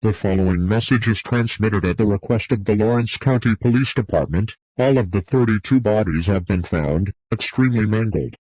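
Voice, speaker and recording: Low-voiced man reading an emergency alert. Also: moderate pace, hardly any pauses, a little mellow, slightly rough, dry recording, dull audio. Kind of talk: scripted emergency broadcast announcement.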